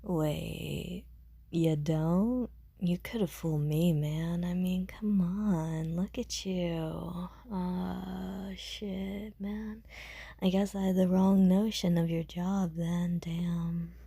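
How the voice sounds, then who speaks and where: A deadpan, awkward young slacker woman, voiced by an actress in an audio roleplay. Her voice is low and breathy, and she mumbles slowly in self-deprecating slang. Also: dry recording, heavily acted. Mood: teasing, baffled.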